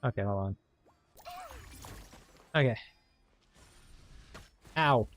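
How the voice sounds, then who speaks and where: A man's voice: medium pitch, animated, extremely slow, a bit bright. Wry man giving casual game commentary. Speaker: game streamer.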